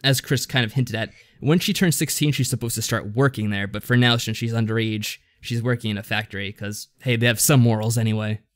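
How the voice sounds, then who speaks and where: A man's voice: mid-range voice, fairly even intonation, a little fast, hardly any pauses. A man co-hosting a podcast, recapping things in a casual, hesitant, rambling way. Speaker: podcast co-host. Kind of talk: casual discussion recapping a visual novel.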